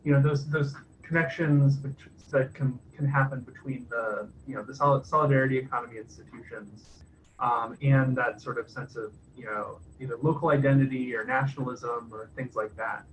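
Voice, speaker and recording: A man hosting a casual video call, asking long, halting questions full of fillers and restarts. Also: mid-range voice, fairly even intonation. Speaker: host. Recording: distant mic with faint background noise.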